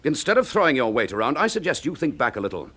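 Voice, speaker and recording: An actor with a British accent playing a stern, weary, dutiful chief inspector in a radio drama, clipped and authoritative. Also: male voice, mid-range voice, sing-song, fast talker, a bit bright, slightly rough. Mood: stern, angry.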